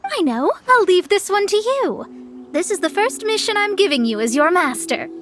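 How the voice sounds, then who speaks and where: A woman's voice: very high-pitched, very animated, normal speed, fairly bright tone, slight background noise, exaggerated voice. A woman playing a proud, formal young astrologer with theatrical delivery in a video game. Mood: composed. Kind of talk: video game character acting. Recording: game dialogue with music behind.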